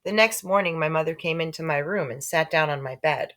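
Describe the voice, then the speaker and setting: A woman narrating a children's book aloud in an expressive storytelling style. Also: low-pitched, fairly quick. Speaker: storybook reader.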